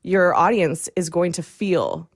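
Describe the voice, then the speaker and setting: A woman's voice: fairly low pitch, very animated, slow pace, dry recording, clean studio sound. A woman chatting casually as a guest in a radio interview. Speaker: guest, graphic designer.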